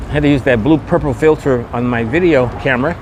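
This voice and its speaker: A man's voice: medium pitch, fast. A man giving a casual walking tour commentary as a vlog host, with repetitive, promotional patter full of catchphrases, recorded outdoors on a busy street. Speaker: vlogger hosting a walking podcast. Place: outdoors on a busy open-air mall street. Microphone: handheld phone camera. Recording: outdoor street noise, wind and traffic.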